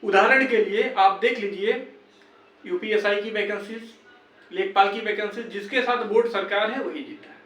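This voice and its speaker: A man's voice: high-pitched, normal speed, a bit bright. A young man from northern India making an insistent, reproachful motivational appeal to fellow candidates as one of their organisers. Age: young adult. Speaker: exam candidate and campaign organiser. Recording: echoey room, distant microphone.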